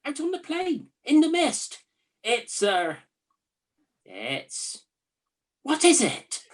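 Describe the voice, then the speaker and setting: A woman's voice: sits high, wildly swooping pitch, very slow, heavily acted. A woman playing a chatty, gullible Trojan soldier in a comic radio play, musing in a wry, conversational way. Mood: excited, amazed. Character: bored, gullible, chatty Trojan night-watch soldier in a spoof.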